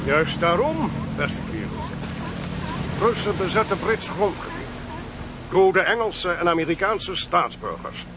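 A male actor in a Dutch radio play, voicing a cold, smooth-talking, ruthless intelligence official in a measured, condescending way. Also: sits high, big pitch swings, noisy background. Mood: coolly dismissive.

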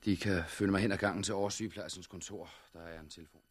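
A blinded hospital doctor in a 1960s radio drama. His voice is deep and husky, and he speaks with grave, stoical restraint, sounding bleak and resigned to his lot. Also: steady tone. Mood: controlled.

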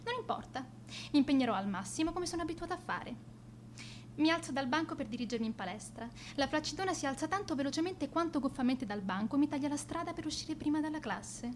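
An actress playing a vain, contemptuous high school girl bully in a radio drama monologue, haughty and self-absorbed. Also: animated, quick pace, slight background noise. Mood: irritated, scornful.

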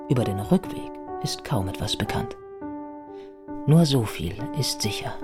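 A man playing a reflective biographer who narrates a radio play in sober literary prose, with a husky voice. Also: mid-range voice, natural voice. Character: reflective biographer recounting a life with sober sympathy. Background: music.

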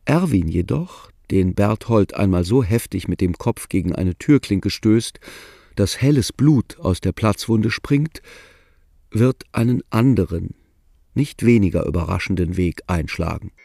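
A low, gravelly man narrates a literary radio play. He is deadpan and unhurried in tone, with a dark, mellow timbre. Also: no echo. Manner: dry, deadpan storytelling.

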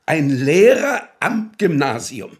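A state prosecutor in a radio drama. His voice sits fairly high for a man. He is officious and condescending, with impatient scepticism. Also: sing-song, slow. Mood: incredulous, irritated. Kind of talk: German radio drama acting.

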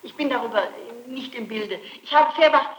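A woman's voice: high voice, normal speed, a bit bright, reverberant, a little hiss or hum, rough recording. A woman being questioned as a suspect, answering with insistent, pleading and emphatic denials on an archival interrogation tape. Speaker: suspect under police interrogation.

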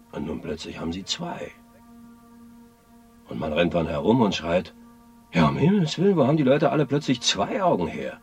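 An actor playing a skeptical, matter-of-fact man brushing off a stranger in a radio play. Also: sits low.

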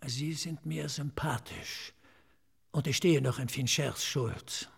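An actor playing a talkative forensic pathologist in a radio drama, dryly clinical and slightly eccentric, explaining things in measured, savoring phrases. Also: male voice, fairly high pitch, fairly even intonation, full character voice. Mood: confiding. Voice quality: raspy, creaky. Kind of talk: German radio drama acting.

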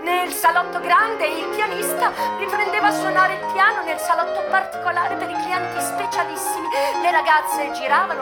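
An actress playing a brazen, worldly American brothel madam in a theatrical radio monologue, drawing out her words and savouring every list. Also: very high-pitched. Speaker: stage actress. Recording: music playing behind the voice.

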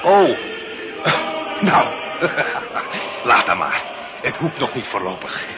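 An actor playing a sly, jovial smuggler and bossy husband in a radio play. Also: male voice, medium pitch, big pitch swings, slow pace, over loud music, over a noisy crowd. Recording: muffled, noisy old radio recording.

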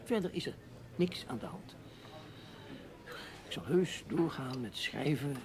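Fussy, creaky-voiced literary gentleman. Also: on the high side, expressive, a little slow, crowd noise. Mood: resigned, protesting. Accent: Dutch, Netherlands. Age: middle-aged. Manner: wordy, hesitant, protesting with repeated little words. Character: proud, resigned middle-aged literary newspaper writer, gentlemanly. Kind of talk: Dutch radio play acting.

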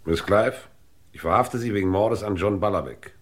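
An actor playing a brisk, shrewd police inspector in a 1960s German radio crime play, clipped and authoritative. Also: male voice, on the low side, very noisy, lightly acted. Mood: stern, accusing.

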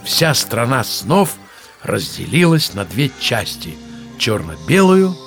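An actor playing a storyteller, narrating a children's audio play in a measured, dramatic way. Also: male voice, mid-range voice, sing-song, creaky, fairly bright tone, faint music behind, full character voice. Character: male fairy-tale storyteller.

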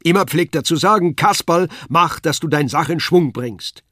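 A clownish wooden puppet from a storybook, pitched high above everyday speech. He exclaims and whines in rustic dialect, a lively little rascal with a dry, close sound. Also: moderate pace, dry recording. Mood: boisterous, boastful. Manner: exclaiming, whining, rustic southern dialect diminutives.

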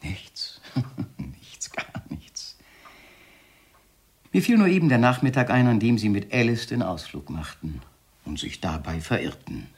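An actor playing a sinister, jealous doctor in a German radio play, speaking with menacing politeness that gives way to taunts and whispers. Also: male voice, fairly low pitch, expressive, a little fast, husky. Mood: insinuating, mocking. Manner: silky mock courtesy breaking into outbursts and whispers.

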